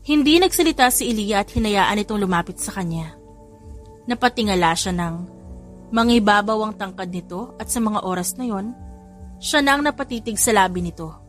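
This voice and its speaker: A Filipino woman reads a romance story as an audiobook narrator. Her voice sits at a middling pitch, and music plays behind her. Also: expressive, normal speed. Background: background music.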